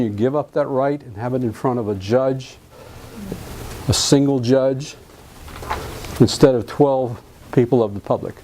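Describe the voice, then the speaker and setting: A man's voice: mid-range voice, dark tone, a little hiss or hum. A middle-aged man from Alabama, giving a live classroom lecture on real estate contracts in a folksy, joking way and quizzing his students. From Alabama, US South.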